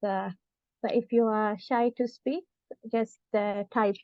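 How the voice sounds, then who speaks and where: A woman's voice: mid-range voice, fairly even intonation, slow pace, no echo. A woman hosting an online workshop, who invites participants to speak and encourages them in a friendly way. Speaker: session host. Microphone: video call. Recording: muffled video call audio.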